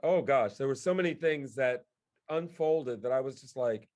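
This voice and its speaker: A man's voice: medium pitch, moderate pace, darkish tone. A man in casual conversation, telling stories by acting out bits of remembered dialogue. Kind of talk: casual chat.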